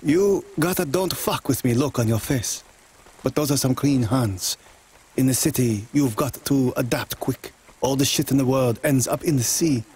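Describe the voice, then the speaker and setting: An actor playing a menacing, philosophical male crime boss in a video game, speaking in terse, measured lines with quiet threat. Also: medium pitch, husky. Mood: knowing, advisory.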